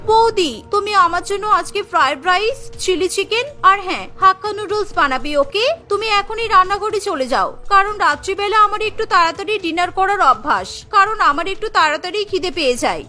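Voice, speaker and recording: A woman's voice: very high-pitched, expressive, normal speed, few pauses, sharp tone, soft background music, heavily acted. A woman voicing a bossy, demanding young sister-in-law in a cartoon, commanding and entitled.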